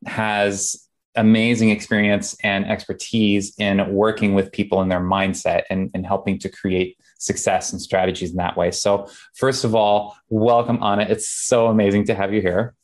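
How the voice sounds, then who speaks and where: A man's voice: on the low side, flat delivery, moderate pace. Enthusiastic male podcast host. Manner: enthusiastic, effusive praise. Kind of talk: casual interview hosting.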